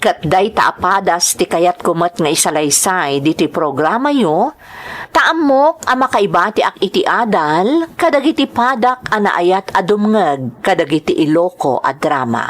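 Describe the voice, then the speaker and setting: A woman's voice: on the low side, very animated, unhurried. A woman with an Ilocano accent narrating a letter in a scripted style as host of a drama programme.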